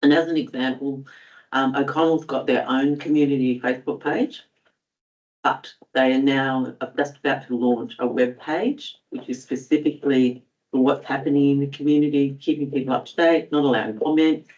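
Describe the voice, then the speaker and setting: A man's voice: mid-range voice, fairly even intonation, moderate pace, a little mellow. A man councillor speaking hesitantly in a council meeting debate. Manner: hesitant, with many fillers. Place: council chamber. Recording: dull audio from a distant mic.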